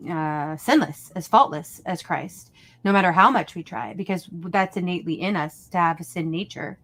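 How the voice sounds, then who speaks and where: A woman's voice: lowish voice, fairly bright tone. A woman co-hosting a casual late-night chat, talking in an earnest way. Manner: slow, earnest, laughs often. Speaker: co-host.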